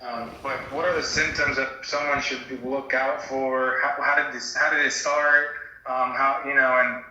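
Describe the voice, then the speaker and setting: A man's voice: mid-range voice, flat delivery, moderate pace, pushed and bright, very echoey, lo-fi sound, a little hiss or hum. A man hosting a casual phone chat, asking questions in a hesitant, tentative way. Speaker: host interviewing an old acquaintance.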